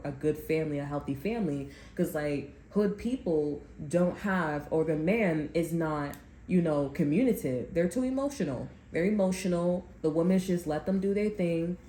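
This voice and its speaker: A woman's voice: low-pitched, warm tone. A woman giving a casual tarot reading, rambling and blunt, who swears when she gets heated. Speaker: tarot reader. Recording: echoey room with faint background noise.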